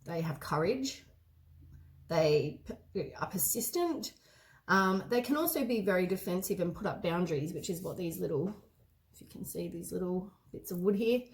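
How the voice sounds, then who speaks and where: A hoarse woman with a head cold gives a casual tarot reading, meandering and often correcting herself mid-sentence. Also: on the low side, a little mellow. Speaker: tarot card reader.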